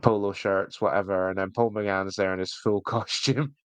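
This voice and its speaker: A man's voice: fairly low pitch, fairly even intonation, moderate pace, smooth, dry recording. A man co-hosting a podcast, giving reviews in a rambling, jokey, casual way. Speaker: podcast co-host.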